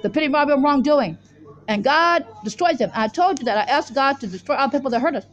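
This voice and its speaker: A woman's voice: high voice, lively intonation, fairly quick, lo-fi sound, slight background noise. A woman giving a rambling, unscripted religious monologue in an insistent, repetitive, disjointed way. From Israel. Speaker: self-styled religious teacher introducing the weekly Torah portion.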